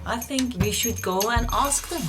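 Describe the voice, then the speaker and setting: A woman's voice: a little high, normal speed. Belgian-accented woman co-narrating over music. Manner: chatty, chiming in to finish her partner's lines. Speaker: documentary co-narrator.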